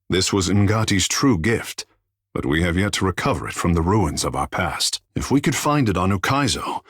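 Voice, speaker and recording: A man playing a proud, vain young godlike islander sea mage in a fantasy video game, speaking in lofty, flowery, formal phrases with a husky, breathy voice. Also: low voice, a bit bright, no room sound, studio quality, full character voice. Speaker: companion character in a fantasy game. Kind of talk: theatrical video game dialogue.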